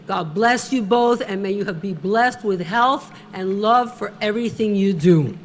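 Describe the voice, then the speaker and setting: A middle-aged woman presenting an award at a gala, giving a slow, deliberate, emotional tribute speech at a podium microphone. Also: mid-range voice, slight background noise.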